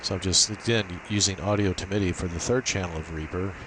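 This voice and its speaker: A man giving an informal, halting technical demo, thinking aloud as he walks through his setup. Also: low-pitched. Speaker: amateur radio operator giving a tech demo. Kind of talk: informal screen demo walkthrough. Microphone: built-in laptop microphone. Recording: steady hiss from cheap laptop microphone.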